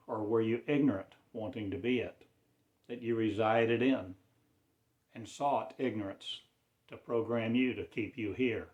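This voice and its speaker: A man delivering a rambling spiritual monologue in a slow, drawn-out, cryptic declaiming manner. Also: lowish voice, a little mellow, reverberant.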